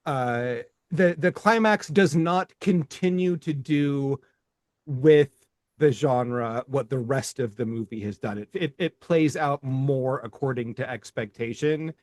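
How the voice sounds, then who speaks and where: A man's voice: mid-range voice, takes it slowly, dry recording. A middle-aged man co-hosting a movie podcast, rambling casually with restarts and swearing. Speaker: podcast co-host.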